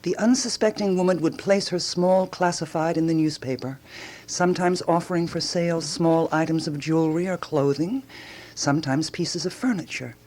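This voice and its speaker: A woman's voice: sits low, moderate pace, darkish tone. A woman playing a self-assured amateur detective of 1920s Paris in a radio drama, emphatic and theatrical. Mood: dramatic, relishing.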